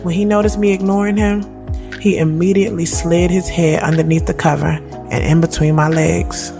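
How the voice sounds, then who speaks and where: A woman in her thirties playing a sharp-tongued, moody woman who tells her own story in a candid, slangy audio drama. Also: on the low side, little pitch movement, moderate pace, gravelly. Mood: candid narrating. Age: 30s. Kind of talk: first-person audio drama narration and dialogue. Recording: music bed behind the voice.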